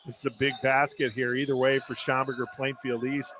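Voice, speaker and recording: A man's voice: medium pitch, level tone, fairly quick, a bit bright. A man giving color commentary and analysis during a live basketball radio broadcast.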